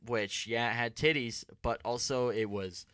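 A young man telling a rambling story on his podcast, filling it with "like" and casual swearing. His voice sits at a middle pitch and is recorded close and dry, with no room sound. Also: a little slow, fairly bright tone, clean tone, dry recording. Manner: profane, filler-heavy, lots of "like". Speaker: podcast host.

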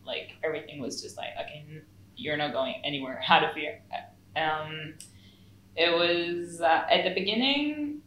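Young female musician, second-language English, low voice, easygoing chatter, roomy recording with faint hiss. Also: normal speed, a bit bright. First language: not English. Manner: chatty, laughs often, lots of fillers. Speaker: saxophonist and music producer. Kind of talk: casual interview. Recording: echoey room with faint noise.

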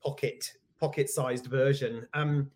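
A man in his forties with a British accent, chatting as a guest in a relaxed interview. He talks in a reflective, self-deprecating way, pausing on ums. His voice sits at an average pitch. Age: 40s. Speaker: guest, executive coach. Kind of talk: casual interview conversation.

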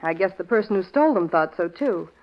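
An actress playing an earnest, shaken young nurse in a 1940s radio drama, speaking hesitantly. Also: female voice, fairly low pitch, expressive, quick pace. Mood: earnest recalling. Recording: muffled vintage radio recording.

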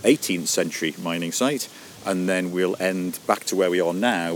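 Deep-voiced man explaining history. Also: a little hiss or hum. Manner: measured, informative. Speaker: guest mining historian. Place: outdoors at old mine workings.

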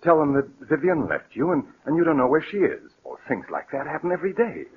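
A man's voice: fairly high pitch, very animated, fast talker, slight background noise. A man playing a suave, calculating blackmailer in a 1940s radio thriller, courteous on the surface and coldly bullying beneath. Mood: falsely courteous. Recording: old tinny band-limited radio recording.

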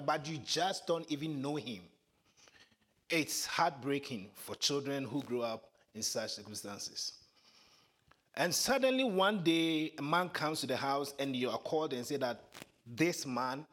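Man, male preacher, first language twi, earnest sermon, emphatic, animated pitch. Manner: earnest, builds to emphatic high points.

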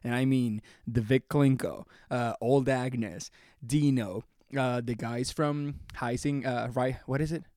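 A man in his late twenties co-hosting a podcast, chatting casually and excitably, laughing and swearing often. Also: medium pitch, a little slow, dry recording, studio quality. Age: 20s. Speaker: podcast co-host. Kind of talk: casual chat.

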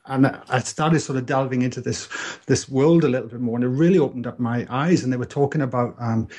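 A man's voice: medium pitch, brisk, dark tone. A Welsh man in his thirties, a podcast guest telling his story in a casual interview, earnest and reflective with frequent restarts. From Wales.

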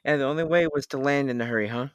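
A man's voice: mid-range voice, racing, no echo, lightly acted. A young man playing a blustering, folksy, good-hearted rocket pilot in a classic radio serial. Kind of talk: classic radio drama acting.

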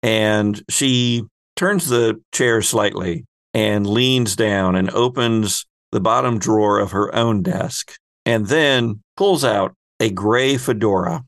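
A man runs a tabletop game as its narrator. He speaks slowly and deliberately, with some fry in the voice, and paints scenes descriptively in a dry recording. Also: on the low side, no room sound. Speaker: host and game master.

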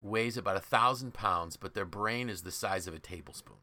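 A male podcast host with a fairly low, bright and forceful voice. He explains things conversationally, leaning on key words for emphasis, in a dry, close sound. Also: moderate pace, no room sound.

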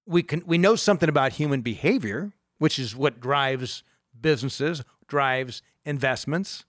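A man's voice: mid-range voice, normal speed, fairly bright tone, no echo, clean studio sound. Rambling middle-aged man hosting radio. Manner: rambling, restarts often, laughs easily. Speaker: radio show host, financial advisor. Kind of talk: casual radio talk.

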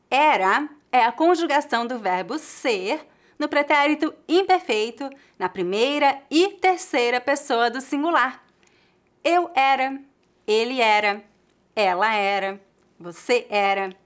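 A woman with a Brazilian Portuguese accent, teaching a scripted online language lesson in a clear, careful way and drilling slow examples. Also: sits high, fairly bright tone.

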